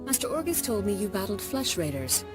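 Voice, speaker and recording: An actress playing the serene female leader of the Jedi Council in a video game, with a measured manner. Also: medium pitch, big pitch swings, natural voice. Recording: game cutscene with music behind.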